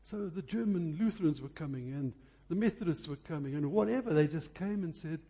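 A man's voice: on the high side, animated, fairly quick, mellow, husky. A man preaching a sermon, phrasing emphatically and repeating key words for effect.

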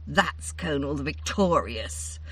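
A woman voicing a stern, commanding older queen who speaks curtly in a low voice. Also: animated, slow pace, fairly bright tone, slightly rough, distant mic, full character voice, low-quality recording. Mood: grim.

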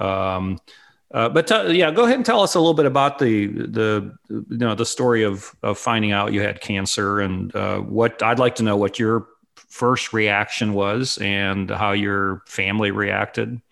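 A man's voice: lowish voice, normal speed, creaky, fairly bright tone. A man in his late fifties hosting an interview, asking long, rambling questions with many restarts. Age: 50s. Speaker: interview host. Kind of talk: conversational interview.

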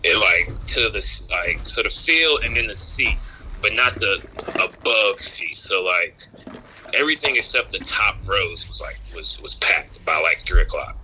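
A man calling in to a podcast, chatting casually with halting, repetitive phrasing as he recounts things. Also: low-pitched, lively intonation, sharp tone, slight background noise, low-quality recording. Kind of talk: casual chat, recounting an event. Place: on a phone call into the show.

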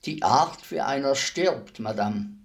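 An actor playing a weary old executioner in a radio play, speaking in a deliberate, ruminative manner with heavy pauses. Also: male voice, mid-range voice, expressive, creaky. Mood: humble, pensive. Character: weary old male executioner, fifty years imprisoned, brooding, humble.

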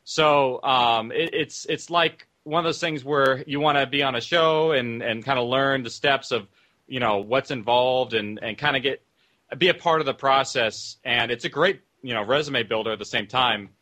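A man with a bright, clear-cut voice of medium pitch, talking off the cuff as a producer on a panel. His phrasing is loose and informal, full of small qualifiers. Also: normal speed. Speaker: audio drama producer, panel guest. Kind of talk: casual panel discussion.

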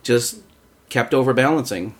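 A man's voice: medium pitch, normal speed. Man chatting in a rambling way. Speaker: voice actor on a round-table. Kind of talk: casual group discussion.

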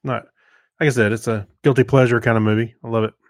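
A man's voice: medium pitch, steady tone, fast, no room sound. Man chatting casually, dry close sound. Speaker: podcast co-host. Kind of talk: casual chat.

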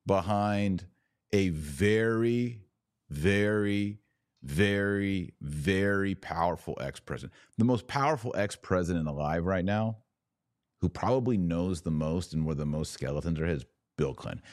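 A man's voice: low-pitched, dry recording, clean studio sound. A man hosting a podcast with conversational opinion commentary, talking emphatically with repeated words, rhetorical questions and a wry, sarcastic edge. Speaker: podcast host.

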